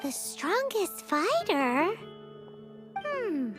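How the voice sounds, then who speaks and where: A woman voicing a tiny, excitable, curious fairy companion in an anime-style video game, with a squeaky childlike manner. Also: drawn-out words, music in the background, exaggerated voice. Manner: squeaky, speaks of herself in third person.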